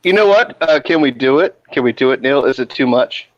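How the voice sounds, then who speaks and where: A man's voice: medium pitch, lively intonation, fairly quick, dry recording. A man on a casual video-call chat, teasing and cutting in with jokes. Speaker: guest. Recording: dry, dull lo-fi sound.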